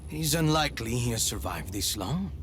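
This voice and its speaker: An actor playing a scheming male villain in a video game cutscene, smooth, condescending and coolly menacing. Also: mid-range voice, animated, normal speed, background noise, lightly acted.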